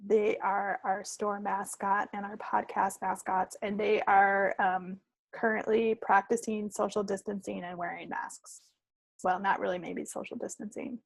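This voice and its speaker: A woman's voice: mid-range voice, level tone, measured pace, gravelly, no room sound, rough recording. A woman co-hosting a casual podcast from home, chatty and playful in her delivery. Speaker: podcast co-host. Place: at home, recording an online call.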